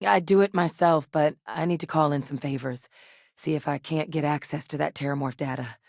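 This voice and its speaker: An actress playing a composed, frank military scientist in a space video game, speaking in a measured, articulate way. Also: female voice, low voice, steady tone, a little mellow, no echo, clean studio sound. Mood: composed, serious. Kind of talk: acted video game dialogue.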